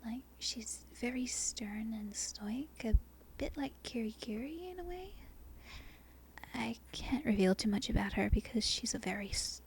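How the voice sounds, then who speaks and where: A woman from Australia with a softened Australian accent, whispering an unscripted ASMR ramble in a halting, breathy way, often correcting herself. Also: a little high, lively intonation, a little hiss or hum. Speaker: amateur ASMR video creator. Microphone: non-binaural microphone.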